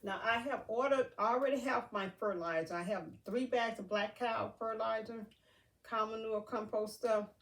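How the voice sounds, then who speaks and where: A woman's voice: on the high side, fairly even intonation, normal speed, a bit bright, distant mic. A woman with a stuffy nose chatting casually in an unboxing vlog, rambling with many fillers. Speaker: vlogger. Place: outdoors near a garden. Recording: noisy, from off mic.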